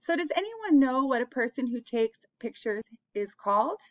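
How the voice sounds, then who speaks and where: Lady reading a picture book to little kids, highish voice, keeps asking them stuff, kinda muffled homemade recording. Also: big pitch swings, moderate pace. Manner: encouraging, questioning, addressing children directly. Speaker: children's read-aloud host. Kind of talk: interactive picture-book read-aloud for young children.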